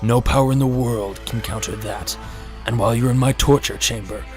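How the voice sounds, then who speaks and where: A man playing a cold, menacing ninja torturer who makes slow, deliberate threats in an audio drama. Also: mid-range voice, close to an everyday voice. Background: loud dramatic music.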